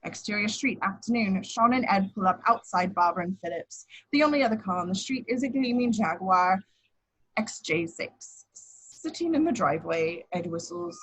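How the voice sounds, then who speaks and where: A woman's voice: mid-range voice, lively intonation, normal speed, a bit bright, breathy edge, poor audio. A woman reading out scripted action descriptions as narrator at an amateur table read.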